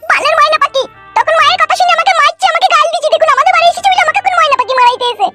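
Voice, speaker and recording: Tiny squeaky cartoon wife. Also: female voice, rapid-fire. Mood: scolding. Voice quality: squeaky, childlike. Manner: bossy, curt, insistent. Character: stubborn, scolding young wife, squeaky and defiant. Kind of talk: amateur comedy cartoon dubbing. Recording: loud music under the voice.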